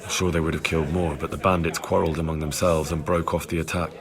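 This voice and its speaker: A man's voice: very deep voice, flat delivery, fast talker, a crowd in the background. An actor playing a dogged young medieval village man in a video game, plain-spoken, earnest and insistent. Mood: sombre. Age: young adult. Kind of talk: video game cutscene acting.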